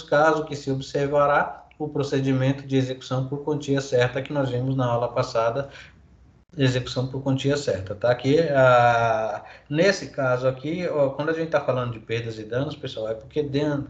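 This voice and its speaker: A man's voice: medium pitch, dull audio. Brazilian man lecturing over echoey call audio. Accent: Brazilian Portuguese. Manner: explains with many fillers and tag questions. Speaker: law professor. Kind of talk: online lecture reading and explaining legal articles.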